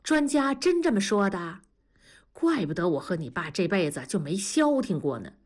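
A woman's voice: mid-range voice, very animated, normal speed. A woman voicing a proud older wife in a storybook reading, sounding smug and earnest.

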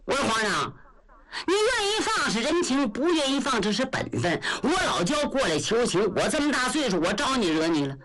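A woman's voice: high voice, sing-song, normal speed, a bit bright, pitched above the performer's own voice. A woman voicing a blunt, muddle-headed old male general who talks back brashly in a traditional storytelling performance. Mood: indignant.

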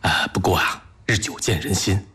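An actor playing a supportive, affectionate husband in a sentimental audio drama, reassuring and gently teasing with expressive interjections. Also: male voice, sits low, moderate pace, slight background noise.

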